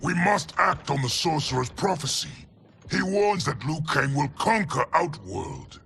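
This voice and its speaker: Gravelly, haughty male general. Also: a little high, big pitch swings, a little slow, a little hiss or hum, heavily acted. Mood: urgent, defiant. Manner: haughty, forceful, formal. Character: proud, hawkish, contemptuous military general. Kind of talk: video game cinematic acting.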